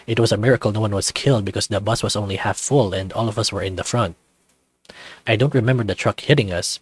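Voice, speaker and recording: A man voicing a shy, gentle young male deer character in a visual novel, speaking softly and hesitantly. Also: fairly low pitch, husky, no room sound, voice pushed down.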